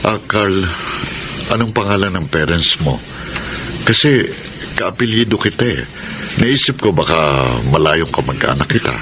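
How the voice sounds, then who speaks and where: A wealthy middle-aged widower, kindly and pensive. His deep voice moves expressively up and down, as in Filipino radio drama, over clearly audible background noise. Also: animated, unhurried, pauses often. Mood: concerned.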